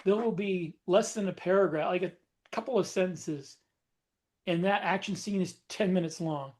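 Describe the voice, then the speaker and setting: A man's voice: sits high, normal speed, slight background noise. A man co-hosting a casual podcast, explaining his experience thoughtfully, step by step. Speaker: podcast co-host. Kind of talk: casual panel chat.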